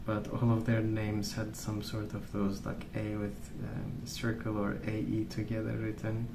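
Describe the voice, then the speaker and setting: A man speaking softly in a rambling ASMR style, hesitant and thinking aloud as he goes. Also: on the low side, monotone, a little slow, gravelly. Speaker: ASMR video creator. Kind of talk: soft-spoken ASMR rambling while colouring. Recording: roomy, distant mic, noisy background.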